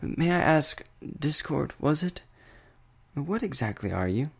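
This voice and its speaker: A gracious pony princess, acted by a man, gentle and easily amused. The voice is lifted a little in pitch, soft and politely regal, in storybook style. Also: sing-song, slow, gravelly, husky, heavily acted. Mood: curious, gracious.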